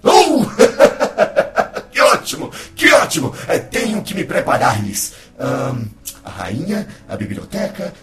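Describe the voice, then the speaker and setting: An actor playing a bumbling, excitable, lovestruck fellow in an audio drama, stammering and exclaiming in a theatrical, flustered way. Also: male voice, mid-range voice, slow, soft background music, full character voice. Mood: overjoyed, flustered.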